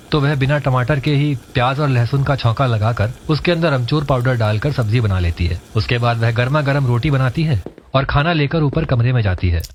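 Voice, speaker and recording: A man's voice: medium pitch, slight background noise. A man reading scripted narration for a cartoon family story.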